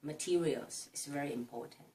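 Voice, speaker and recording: Low-voiced woman speaking haltingly from a distance. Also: warm tone. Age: middle-aged. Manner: hesitant, halting, with frequent fillers. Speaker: interview guest, mother of five. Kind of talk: casual interview chat. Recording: distant mic with faint noise.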